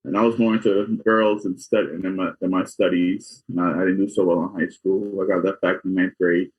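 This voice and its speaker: A husky, low-voiced man in his forties, originally from Guyana, answers interview questions. He recounts his life in a plain, matter-of-fact way. His audio sounds dull and lo-fi. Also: steady tone, a little fast. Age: 40s. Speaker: doctor, interview guest.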